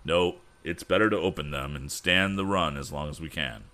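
Man reading aged bank president, weary, grave, measured, very low. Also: sharp tone.

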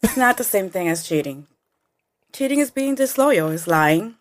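A woman's voice: mid-range voice, lively intonation, moderate pace, no room sound. A woman who is a guest on a podcast, chatting casually in a studio and firing back blunt retorts. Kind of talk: casual chat. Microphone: studio mic, pulled close.

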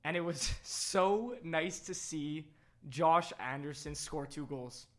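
A man co-hosting a live sports podcast, losing his voice after yelling, animated and full of restarts. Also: fairly high pitch, takes it slowly. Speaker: podcast co-host. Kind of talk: excited live sports chat.

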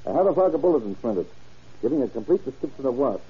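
A husky, fast-talking sheriff. He gives clipped, authoritative lines in vintage radio acting style, on a dull old recording. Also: medium pitch, very animated. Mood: brisk, commanding. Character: brisk, businesslike male sheriff or official.

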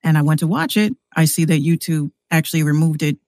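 A woman's voice: deep voice, a little fast, a little mellow, dry recording, clean studio sound. An American woman chatting casually as a podcast guest, informal and a bit hesitant, often repeating words. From New York City, United States. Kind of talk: casual conversation.

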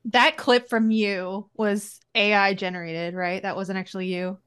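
A woman co-hosting a casual chat, skeptical and quick to laugh. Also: medium pitch, flat delivery, a bit bright, clean tone.

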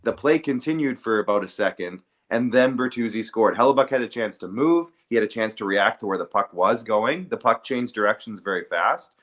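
A man's voice: lowish voice, expressive, a little fast, few pauses, fairly bright tone. A man co-hosting a sports podcast, casually debating and earnestly repeating himself to press his point.

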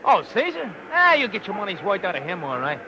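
An actor playing a loud, scornful, wisecracking male wrestling fan in 1940s radio style, jeering in excitable slang. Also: sits high, quick pace, highs cut off, heavily acted. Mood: mocking, amused. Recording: noisy old radio recording, roaring crowd.

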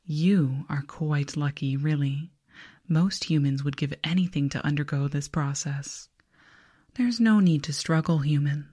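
A woman voicing a calm, politely condescending alien researcher in a slow, soft, breathy whisper for an ASMR roleplay. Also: low voice. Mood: soothing, detached. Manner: slow, soothing, formal, clinically detached.

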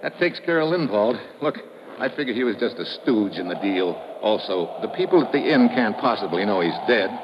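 Deep tough guy detective played by a male actor, dry wisecracks, old radio show, lots of noise. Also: expressive, moderate pace, lightly acted. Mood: cool, scheming. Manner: clipped, dry, hard-boiled. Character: tough wisecracking male American investigator narrating his case. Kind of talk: 1950s radio drama acting, hard-boiled narration. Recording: noisy muffled old radio recording, clicks.

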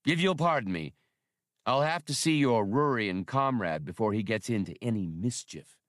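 A man's voice: lowish voice, expressive, moderate pace, a bit bright, dry recording, studio quality. A man reading an audiobook, voicing a condescending gunman villain with formal, menacing courtesy. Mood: smug amusement. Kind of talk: audiobook character dialogue.

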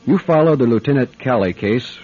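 An actor playing a calm, probing private detective in a radio drama, speaking in a measured, wry way. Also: male voice, mid-range voice, slightly rough. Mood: accusing. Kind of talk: radio drama acting with first-person narration. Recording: dull band-limited radio drama with music.

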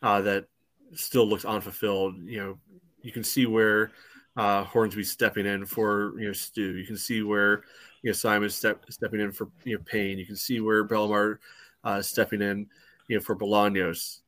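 A man's voice: fairly low pitch, little pitch movement, moderate pace, fairly bright tone, dry recording. A man giving casual soccer analysis on a podcast, padding his points with frequent conversational asides. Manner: leans heavily on "you know" asides. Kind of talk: casual match analysis discussion.

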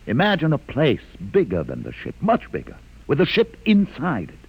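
An actor playing a calm, educated male mutant leader in a 1950s radio drama, measured and quietly ironic. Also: a little high, vocal fry, husky, dull audio, full character voice. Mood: calm, wry. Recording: old radio drama with long reverb.

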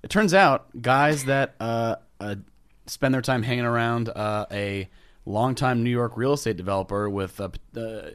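A man co-hosting a comedy podcast, talking wryly in halting, filler-heavy sentences. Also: mid-range voice, fairly bright tone, smooth. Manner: wry, halting, lots of "uh". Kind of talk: casual podcast chat.

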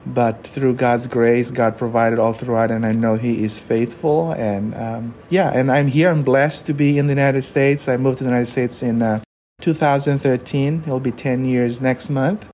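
A young man from Fiji with a Fijian accent, a podcast guest telling his story earnestly with many pauses. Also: mid-range voice, fairly even intonation, a little mellow, a little hiss or hum. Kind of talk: personal storytelling.

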